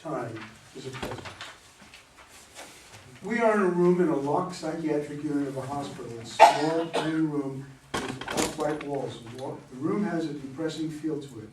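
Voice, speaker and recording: A man's voice: on the high side, moderate pace. A man reading out a play's cast list and stage directions as scripted narration, at some distance in a roomy space. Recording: roomy, distant mic, faint noise.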